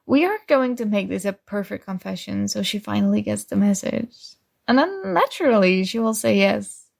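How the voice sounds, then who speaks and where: A young woman performing ASMR roleplay as a sweet, oblivious friend, earnestly giving love advice with little hesitant pauses. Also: mid-range voice, expressive, creaky, a little mellow. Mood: supportive, upbeat. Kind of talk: soft ASMR roleplay acting.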